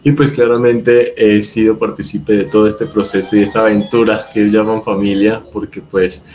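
Young guy host on a video call at home, friendly, lowish voice. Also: flat delivery, normal speed, a little mellow, faint music behind. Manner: friendly, upbeat, with filler words. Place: home room.

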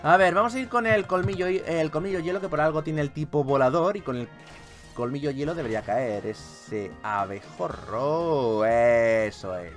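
A man's voice: fairly high pitch, normal speed. A man with a Spain accent giving playful, exclamatory gameplay commentary as a video host. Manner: animated, exclamatory, jokey. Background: video game music.

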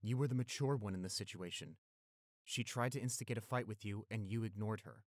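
A man's voice: fairly low pitch, fairly quick, dry recording, studio quality, lightly acted. A man playing a protective, tender young boyfriend who turns fierce toward bullies, in an intimate audio roleplay. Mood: gentle, reassuring. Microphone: close studio microphone.

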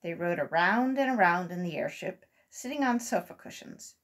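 A woman's voice: lowish voice, lively intonation, normal speed. An older woman reading a children's story aloud expressively. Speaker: volunteer children's story reader. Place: small room at home.

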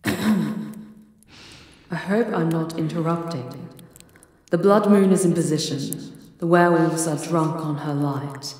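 A woman performs dramatic ASMR roleplay with a slightly low, breathy voice. Her delivery is unhurried, hushed and theatrical, with deliberate phrasing.

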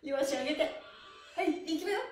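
A high-voiced middle-aged woman leads a livestreamed stretch session with upbeat, exclamatory encouragement. She mixes short rhythmic cues with polite, playful chat. The room is echoey, and music plays behind her. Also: a little fast, darkish tone. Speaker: stretching instructor and livestream host. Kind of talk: live exercise class, counting calls and friendly chat. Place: small bare indoor room with wooden floor.

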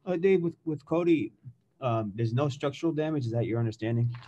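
Male reporter, rapid halting questions, mid-pitched voice. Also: animated, mellow, lo-fi sound. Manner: quick, halting questions with restarts. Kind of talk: press conference questions.

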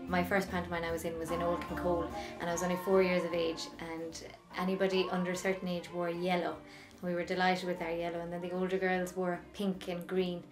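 A woman reminisces in a fairly low, very level voice. The room is echoey and music plays clearly behind her. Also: monotone, a little fast. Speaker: former child pantomime performer. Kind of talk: interview reminiscence.

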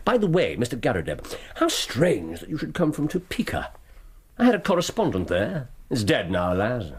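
A wry victorian detective. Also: male voice, medium pitch, huge pitch swings, normal speed, breathy edge. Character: sharp, ironic Victorian male detective. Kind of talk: radio drama acting.

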